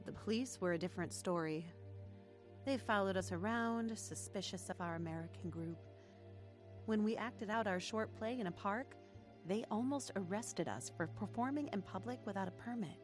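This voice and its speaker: A woman's voice: mid-range voice, moderate pace, soft background music, close to an everyday voice. An actress playing an earnest young woman who reflectively narrates her testimony in a radio drama.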